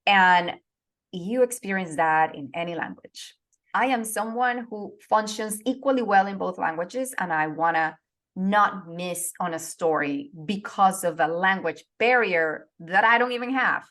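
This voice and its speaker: A woman's voice: medium pitch, measured pace, fairly bright tone, no room sound. A middle-aged woman from Venezuela with a Venezuelan Spanish accent, talking earnestly as a podcast guest and often restarting her phrases. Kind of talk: conversational interview.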